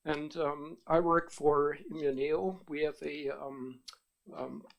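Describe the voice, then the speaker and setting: A middle-aged man with a German accent speaks in a gravelly, somewhat raised voice. He testifies formally before a committee. His delivery is halting and full of ums and uhs. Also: fairly high pitch, fairly even intonation, highs cut off. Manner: hesitant, many ums and uhs, measured explanation. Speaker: testifying witness, biotech executive.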